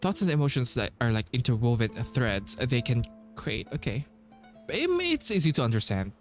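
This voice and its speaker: A man doing casual playthrough commentary as a streamer, thinking aloud haltingly and repeating himself. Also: medium pitch, huge pitch swings. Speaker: game streamer. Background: game music.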